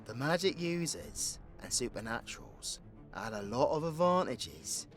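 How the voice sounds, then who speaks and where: A man's voice: fairly high pitch, very animated, unhurried, a bit bright, soft background music, exaggerated voice. A man with a British accent playing a cocky, foul-mouthed, sarcastic supervillain who tells stories in an audio roleplay. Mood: storytelling, wry. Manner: cheeky, sweary, colloquial, theatrical swings into exclamation. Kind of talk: villain audio roleplay, rambling comedic storytelling.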